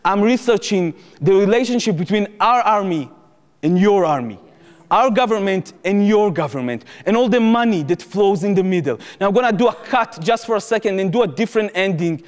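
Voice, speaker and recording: A young man with an Israeli accent giving a public lecture as personal storytelling, emphatic, repeating phrases and pausing for drama. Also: high voice, lively intonation. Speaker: activist lecturer and former soldier. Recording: echoey hall with long reverb.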